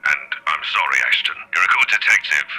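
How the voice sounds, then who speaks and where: An actor playing a stern older police chief in a visual novel, speaking with firm, weary authority. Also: male voice, a bass voice, a little fast, bright, forceful tone, breathy edge, faint music behind, heavily acted, rough recording. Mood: firm, regretful. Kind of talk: dramatic visual novel voice acting.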